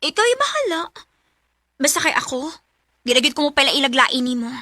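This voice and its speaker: An actress playing an excitable, pushy young matchmaking friend in a radio drama, with a nagging Cebuano-accented delivery. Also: female voice, high-pitched, brisk, no room sound, clean studio sound, exaggerated voice. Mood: scolding. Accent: Cebuano Filipino. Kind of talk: Philippine radio drama acting.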